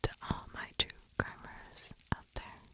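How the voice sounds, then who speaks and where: A woman hosting an ASMR video, telling a story in a breathy, unvoiced whisper close to the microphone, lingering on and repeating words. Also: unhurried. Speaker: ASMR video host, true crime narrator.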